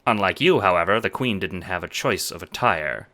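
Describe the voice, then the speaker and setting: A man narrating a second-person audio drama in a dramatic, deliberate way. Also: low voice, expressive, pushed and bright. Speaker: narrator and producer.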